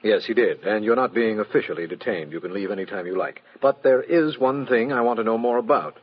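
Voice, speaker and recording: On a dull old radio recording, a stern investigator in a classic mystery drama. The voice is husky and official, putting each point formally and pointedly. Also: male voice, lowish voice, fairly quick. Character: stern, formal male city official questioning suspects.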